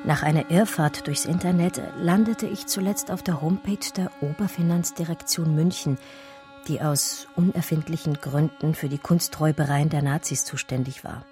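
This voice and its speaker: A woman's voice: low-pitched, fairly even intonation, normal speed, mellow, soft background music, natural voice. A woman playing an articulate, biting art historian who tells her own story in a radio drama. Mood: determined. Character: female art historian recounting her discovery in first person. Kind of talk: dramatic first-person radio play narration.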